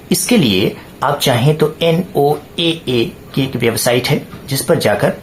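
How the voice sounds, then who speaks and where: An Indian man presents a hobby tutorial at a mid-range pitch, setting out each point in order. His manner is didactic, often asking a question and then answering it. Some ambient noise sits behind his voice.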